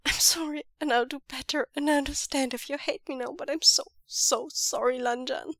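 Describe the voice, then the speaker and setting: A woman's voice: a little high, fairly even intonation, measured pace, bright, forceful tone, no room sound. Woman voicing a stammering remorseful young man. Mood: pleading, breaking. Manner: stammering, voice cracking and choking up.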